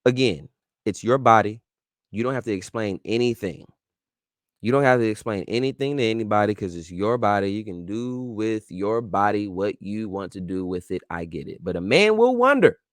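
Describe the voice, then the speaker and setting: A man's voice: on the low side, expressive, normal speed, no echo, studio quality. A man hosting his own show, giving casual, slang-filled advice in an emphatic, preachy way and talking straight to his listeners. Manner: informal slang-filled speech. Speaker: show host.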